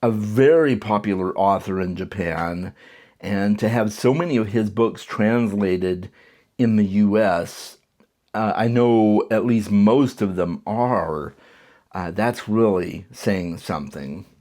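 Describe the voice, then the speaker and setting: A man's voice: lowish voice, slow pace, darkish tone. A man giving a casual, enthusiastic book review, talking conversationally and sometimes restarting his sentences. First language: English.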